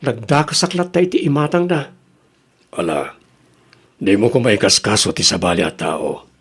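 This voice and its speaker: A man's voice: on the low side, animated, moderate pace, breathy edge, full character voice. An Ilocano-accented actor playing an earnest man in a rural radio drama. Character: grateful reformed ex-convict and his kind farm-owning benefactor.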